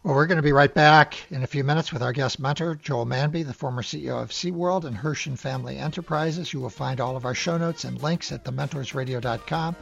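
A man hosting a radio interview show, talking in a conversational way with halting restarts. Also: mid-range voice, creaky, muffled recording.

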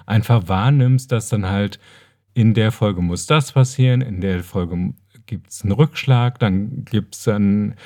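A man's voice: on the low side, a little slow, darkish tone. A middle-aged man co-hosting a podcast, giving his opinions in casual, colloquial talk. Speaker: podcast co-host. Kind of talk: casual chat.

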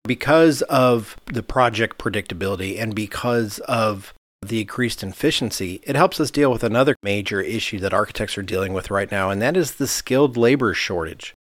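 A man's voice: on the low side, moderate pace, a bit bright, dry recording, clean studio sound. A man hosting an interview podcast in a conversational style. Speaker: podcast host.

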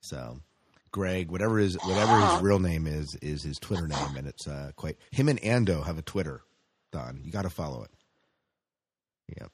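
A man's voice: low-pitched, expressive, moderate pace, slight background noise. A man co-hosting a podcast, chatting casually in an enthusiastic, rambling way as a fan of sci-fi shows. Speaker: podcast co-host.

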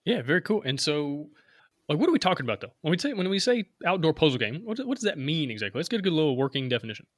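A man's voice: on the high side, very animated, very fast, no echo, studio quality. A man in his thirties with a Southern American accent, hosting a casual interview chat in an upbeat, rambling way with easy laughter. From Alabama. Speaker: podcast host.

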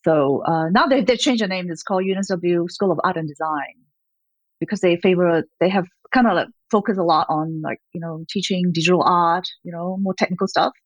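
Woman, 50s, interview guest; rambling, filler-heavy; lowish voice, dull dry lo-fi audio. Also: fairly quick, no room sound. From Malaysia. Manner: rambling, with frequent fillers and light laughs. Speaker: gallerist, guest. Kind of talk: conversational interview.

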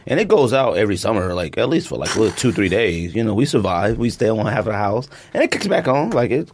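A man co-hosting a podcast, telling stories in a slangy, animated way. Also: lowish voice, quick pace. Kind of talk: casual chat and storytelling.

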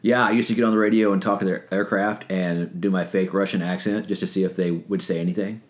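A middle-aged man co-hosting a podcast in a relaxed, joking, teasing way. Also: low-pitched, quick pace. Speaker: podcast co-host, personal trainer. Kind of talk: casual podcast chat.

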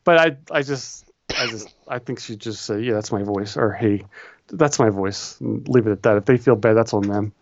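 A man's voice: on the low side, normal speed, lo-fi sound. A man co-hosting a casual chat, reading advice letters aloud with easygoing, dry humor. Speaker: podcast co-host.

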